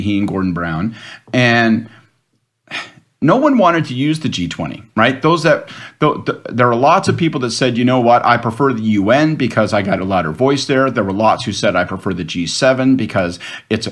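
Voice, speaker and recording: A man on a political panel over a video call, talking at length in a thoughtful way with frequent restarts. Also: lowish voice.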